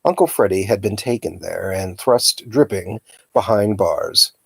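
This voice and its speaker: A man reading a children's novel aloud as a storyteller, with a dramatic, expressive delivery. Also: fairly low pitch, a little slow, gravelly, slightly rough, no room sound. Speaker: storytime reader.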